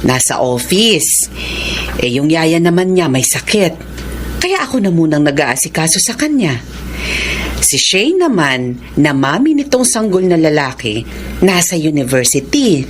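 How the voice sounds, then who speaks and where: Low-voiced, expressive Filipina mother. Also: unhurried, a little hiss or hum. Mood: gently firm. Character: loving, self-sacrificing mother and grandmother, a teacher. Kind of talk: Filipino radio drama acting.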